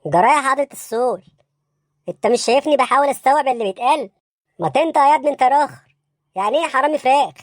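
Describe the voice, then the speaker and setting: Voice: high voice, lively intonation, rapid-fire, long pauses, no echo, clean studio sound. A performer voicing a baffled, bossy police interrogator in an Egyptian comedy cartoon, brusque and insistent, with an Egyptian accent. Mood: exasperated, bossy.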